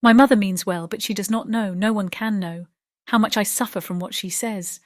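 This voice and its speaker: A woman playing a gentle, anxious young gentlewoman in a dramatized audiobook, earnest and plaintive. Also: mid-range voice, steady tone, moderate pace, no echo, clean studio sound, natural voice. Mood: troubled. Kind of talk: dramatized audiobook character acting.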